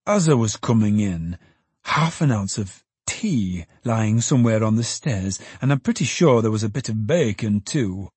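A man voicing an old male ticket porter who talks quickly and breathlessly, fussing and chattering. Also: fairly low pitch, expressive, a little mellow, slightly rough, no echo. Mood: fussy.

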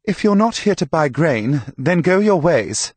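A man's voice: high-pitched, very animated, a little slow, no echo, pitched above the performer's own voice, heavily acted. A man voicing a pompous, scornful young castle herald in an audiobook, easily offended and full of his own rank. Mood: haughty, dismissive.

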